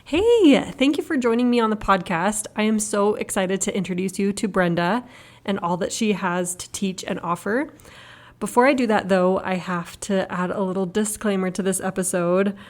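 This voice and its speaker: A woman's voice: mid-range voice, moderate pace. Eager woman podcast host, casual interview chat, video call audio. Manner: eager, talkative, upbeat. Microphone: video call recording.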